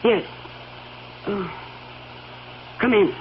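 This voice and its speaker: An actress playing a guarded, anxious young woman in a radio drama, halting and pleading with proper diction. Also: mid-range voice. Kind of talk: old radio drama acting. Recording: dull, hissy old radio recording.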